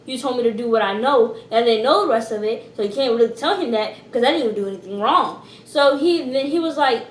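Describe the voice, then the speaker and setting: A teenage girl from Georgia, telling a school story in a loud, expressive, slangy way. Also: fairly high pitch, fast talker, reverberant, slight background noise, rough recording. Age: teens. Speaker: vlogger. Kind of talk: casual storytime.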